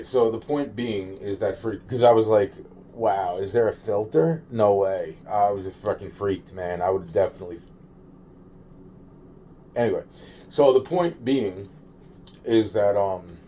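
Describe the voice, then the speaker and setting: Male solo video maker, relaxed dark tone, lowish pitch, halting and circling, casual and sweary delivery. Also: slight background noise. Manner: halting, repetitive, trailing off and circling back; slangy and profane. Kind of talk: rambling, informal monologue to camera.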